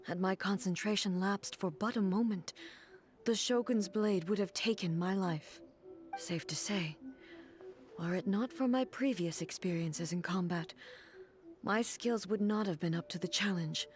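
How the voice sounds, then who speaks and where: A woman playing a dignified, earnest ruling deity in a video game, speaking in a formal, measured way. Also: mid-range voice, lightly acted. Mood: grave. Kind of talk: scripted video game voice acting. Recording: music behind the voice. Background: game music.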